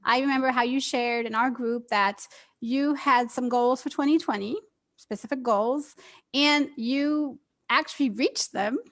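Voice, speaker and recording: Woman hosting an interview chat, kinda high voice, lots of ums. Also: unhurried, a bit bright. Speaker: interview co-host.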